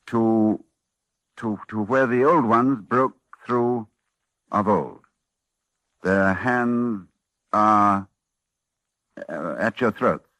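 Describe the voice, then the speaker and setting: An actor playing a learned doctor recounting horrors in a halting, dramatic way, in the style of 1940s radio drama. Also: male voice, on the low side, a bit bright, no echo. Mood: grave, halting. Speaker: actor and narrator. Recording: restored 1940s radio recording with music.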